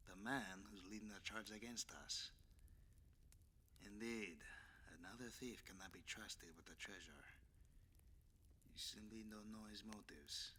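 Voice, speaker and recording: A man's voice: fairly low pitch, sharp tone, a little hiss or hum. A man playing a cocky, flirtatious, easily flustered masked Spanish vigilante with a Spanish accent, in a theatrical, bantering ASMR roleplay drama. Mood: smug, sly.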